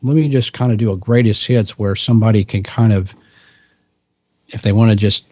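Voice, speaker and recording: A middle-aged man from Texas with a low voice, chatting as a podcast guest in a relaxed, rambling way with frequent restarts. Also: normal speed. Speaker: podcast guest, musician and cryptid researcher. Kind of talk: casual interview storytelling.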